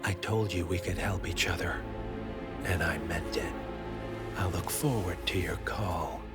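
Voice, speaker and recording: A man playing a smooth, scheming, power-hungry middle-aged villain in a video game, measured and condescending. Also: low-pitched. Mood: calm, coaxing. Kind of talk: cinematic video game acting. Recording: loud music behind the voice.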